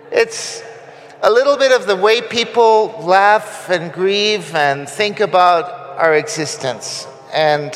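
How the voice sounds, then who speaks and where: Guy from costa rica preaching, chatty with lots of ums, a bit high voice, long church echo. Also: a bit bright. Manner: conversational, with frequent fillers and restarts. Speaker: guest preacher. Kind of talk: sermon. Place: church sanctuary.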